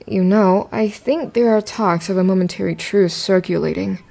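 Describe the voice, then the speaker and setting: A woman playing a sober, realistic friend who explains things gravely in an amateur radio drama. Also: medium pitch, moderate pace, warm tone. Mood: grave, resigned.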